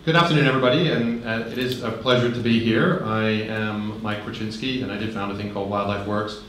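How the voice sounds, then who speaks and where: A man giving a relaxed conference talk, conversational, with frequent ums and light humor, into a handheld microphone. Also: lowish voice, fairly quick, slight background noise. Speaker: conference speaker. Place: large conference hall. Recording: very echoey hall.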